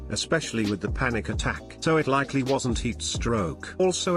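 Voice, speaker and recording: A man narrating online posts and comments in a steady, even read-aloud delivery. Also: mid-range voice. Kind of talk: scripted story narration.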